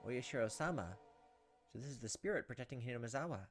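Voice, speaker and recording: A man with a mid-pitched voice hosts a let's play of a visual novel at a quick clip. He reads the text out as dramatic narration, then slips into casual asides of his own. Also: faint music behind. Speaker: gaming video host. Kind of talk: let's play, reading a visual novel aloud with off-the-cuff reactions.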